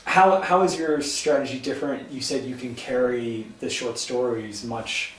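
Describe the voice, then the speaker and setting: A man's voice: medium pitch, fairly even intonation, moderate pace, distant mic. Hesitant Ohio man, echoey and off-mic. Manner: thoughtful, hesitant, many ums and restarts. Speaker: host. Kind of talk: casual interview chat. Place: home kitchen. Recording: echoey room, voice off-mic, faint hum.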